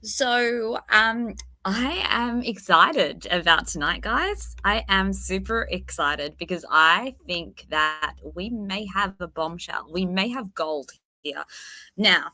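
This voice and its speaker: A woman hosting a true crime livestream in a casual, chatty way. Her voice is croaky from a virus, and she sounds enthusiastic and self-deprecating. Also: medium pitch, expressive, takes it slowly, bright, forceful tone, dry recording. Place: at her workplace, indoors.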